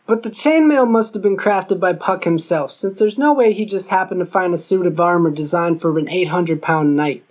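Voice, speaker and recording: Male audiobook narrator for scripted fiction, with a muffled, lo-fi recording. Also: sits high. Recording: dull lo-fi recording.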